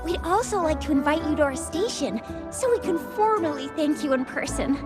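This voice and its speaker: A woman's voice: high-pitched, lively intonation, a little fast, loud music behind the voice. An actress playing a bubbly, friendly young female patrol officer in a video game, eager and effusive. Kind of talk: video game character acting.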